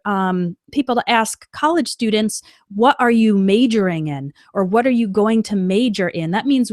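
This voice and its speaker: Casting note: young adult woman, patient online English teacher, clear delivery, close dry mic. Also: medium pitch, a little mellow, no room sound. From United States. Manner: clear, patient explaining with frequent "okay" check-ins. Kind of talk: live-stream English lesson.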